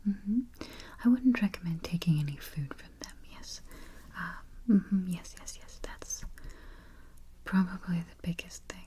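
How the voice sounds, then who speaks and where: A woman doing a soft, breathy, mostly whispered ASMR roleplay as a politely morbid vampire travel agent, murmuring with dry understatement close to the microphone. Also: lowish voice, animated, unhurried. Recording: faint hiss, close keyboard typing and clicks. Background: typing, clicking and gentle tapping.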